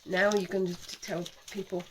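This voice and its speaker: Woman, croaky failing voice, chatty and self-mocking, casual craft vlog host. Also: fairly low pitch, little pitch movement, normal speed, a little hiss or hum, rough recording. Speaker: channel host, mother.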